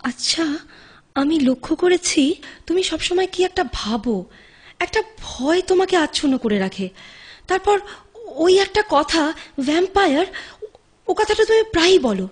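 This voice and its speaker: Audio drama: actress as insistent, loving woman caring for a troubled partner; very high, highly animated, theatrical. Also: measured pace, long pauses. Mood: soft, careful. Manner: pleading, emphatic, theatrical delivery. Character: devoted, anguished, insistent woman nursing her addicted lover.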